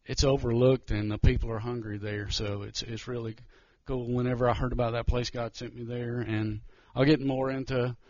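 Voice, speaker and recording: A man's voice: medium pitch, level tone, a little mellow, no room sound, highs cut off. Nervous man giving testimony. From Georgia, US. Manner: nervous, halting, many restarts. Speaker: missionary. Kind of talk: church testimony talk.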